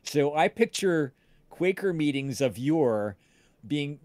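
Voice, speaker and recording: A man chatting casually on a livestream, telling anecdotes in a halting, searching way with false starts. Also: fairly high pitch, animated, darkish tone. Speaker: podcast cast member on a livestream. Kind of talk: casual chat, rambling anecdote.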